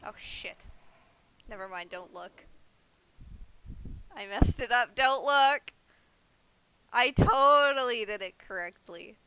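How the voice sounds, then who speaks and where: A woman with a bright, fairly high voice chats casually while gaming on a stream. She teases her co-player playfully and swears freely. There is faint noise behind her. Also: expressive, takes it slowly, pauses often, rough recording. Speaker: livestreamer playing an online game. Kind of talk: casual gameplay chat with a co-player.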